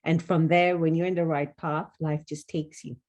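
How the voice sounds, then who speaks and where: A woman's voice: low voice, level tone, normal speed, darkish tone. A woman in her forties from Kenya, speaking articulately and earnestly as a guest answering questions in a conversational interview. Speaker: wellness consultant and author, podcast guest.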